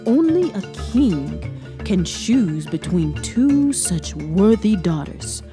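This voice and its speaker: A woman voicing a dignified, fair-minded village father in a children's folktale, speaking in a measured, firm, formal way. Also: mid-range voice, big pitch swings, music in the background. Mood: proud, firm. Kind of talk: read-aloud children's folktale.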